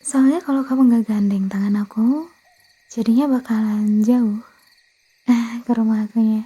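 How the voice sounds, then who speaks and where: A very breathy, mellow Indonesian vampire girl, acted by a woman, murmurs and whispers close in an ASMR roleplay. Her voice sits fairly high and stays soft. She coaxes and pleads, stumbling and correcting herself as she tries to seem harmless despite her thirst for blood. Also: steady tone, moderate pace. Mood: apologetic, gently coaxing. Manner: hesitant, stammering, self-correcting, coaxing. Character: blood-craving young female vampire girlfriend, harmless, hesitant, pleading.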